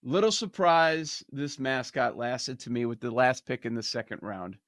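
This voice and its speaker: A man hosting a sports betting show, animated and laughing through casual banter. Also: medium pitch, moderate pace, dry recording, clean studio sound. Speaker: podcast host.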